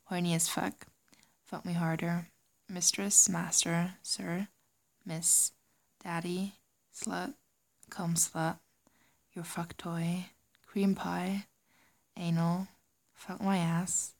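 A young German woman with a light German accent, chatting casually and giggly, often slipping into a soft whisper. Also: on the low side, level tone, slow, vocal fry, long pauses. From Germany, raised in Singapore. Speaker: audio creator. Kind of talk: casual chat reading word lists.

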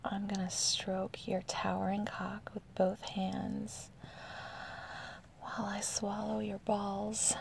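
A woman playing an amorous, eager adult lover in an intimate audio roleplay, speaking to the listener in a breathy, whispery voice. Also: mid-range voice, completely flat delivery, a little hiss or hum. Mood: seductive, hushed. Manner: slow, intimate, addressing the listener directly.

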